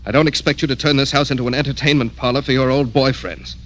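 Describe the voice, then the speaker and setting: An actor playing a proud, embittered doctor in 1950s radio drama, stiff, formal and curt. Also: male voice, mid-range voice, fairly even intonation, quick pace, fairly bright tone, slight background noise, lightly acted. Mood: stern, pleading. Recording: old radio drama, faint music behind.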